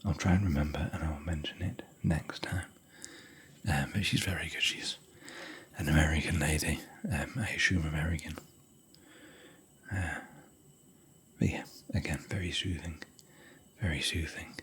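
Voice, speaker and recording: Deep-voiced whispering man. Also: fairly even intonation, normal speed, slightly rough. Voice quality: soft whisper. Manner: rambling, chatty, gentle. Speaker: ASMR hotel room tour host. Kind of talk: whispered ASMR vlog. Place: hotel room.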